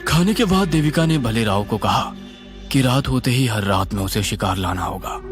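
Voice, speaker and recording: A man narrating a scripted horror story in a suspenseful, dramatic, expressive way. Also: medium pitch, normal speed. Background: music.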